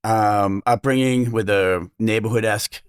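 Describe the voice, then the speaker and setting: A middle-aged man with a Boston accent and a low voice talks fast, filling his sentences with "you know" and "uh" as an interview guest. The recording is dry and close. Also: clear, resonant tone, no room sound. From Massachusetts, south of Boston. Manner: fast-talking, lots of fillers. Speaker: restaurant owner, guest. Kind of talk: casual interview chat.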